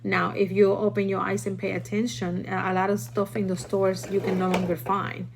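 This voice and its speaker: Puerto rican lady, spanish native, chatting and teaching on a live, mid pitched voice, noisy room behind her. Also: flat delivery, normal speed, vocal fry. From Puerto Rico. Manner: chatty, instructive, often tags sentences with "okay?". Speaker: livestream host teaching food storage. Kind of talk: casual livestream chat and demonstration.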